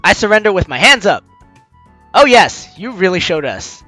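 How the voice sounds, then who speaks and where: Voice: medium pitch, very animated, moderate pace, pushed and bright, no room sound. A let's player giving jokey, teasing commentary and reading a game's story aloud, with game music behind. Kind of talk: let's play commentary and read-aloud narration.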